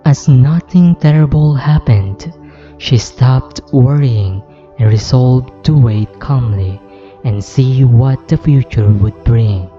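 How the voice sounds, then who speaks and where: A woman storyteller with a very dark, mellow voice reads a children's tale. She is deliberate and very slow, over a music bed. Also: rumbling low, dull audio. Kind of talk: scripted children's story narration.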